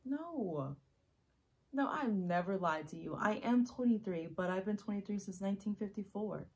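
A woman performing a dramatic monologue as an earnest, ageless young woman making an intimate, halting confession to her lover. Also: fairly low pitch, dark tone, lightly acted, rough recording. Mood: pleading, insistent.

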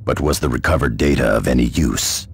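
An actor playing a grim, terse veteran scout marine in a video game, with a very deep, gravelly voice. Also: male voice, fairly quick, slight background noise. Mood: grim, wary. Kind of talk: video game character acting.

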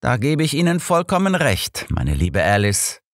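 A man voicing a composed, courteous old detective in an audiobook, speaking in a measured, deliberate way. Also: medium pitch, wildly swooping pitch, no echo, clean studio sound, voice pushed up. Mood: deliberating. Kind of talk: audiobook character voice.

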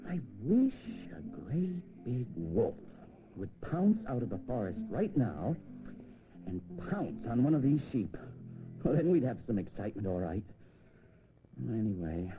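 A man's voice: a little hiss or hum, low-quality recording. A man playing a restless, mischievous shepherd boy in a children's audio story, with a dramatic delivery. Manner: dramatic, swooping from muttering to high yells. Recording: music behind the voice.

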